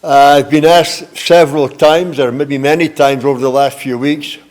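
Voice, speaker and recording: An older man speaks as a candidate at a forum, introducing himself in a measured, earnest, plain-spoken way. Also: fairly high pitch, fairly even intonation, darkish tone. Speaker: mayoral candidate. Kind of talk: prepared campaign speech at a forum.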